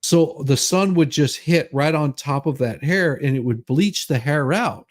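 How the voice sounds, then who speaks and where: A man's voice: a little high, measured pace. A man doing a comedic dramatic reading with rambling, repetitive, mock-outraged banter. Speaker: co-reader in a dramatic reading stream.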